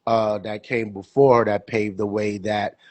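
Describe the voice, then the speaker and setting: A man's voice: on the low side, little pitch movement, measured pace, a little mellow, no echo. A young man hosting a podcast, chatting casually about sports, stuffy with a cold and rambling with many fillers and repeats. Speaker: podcast co-host.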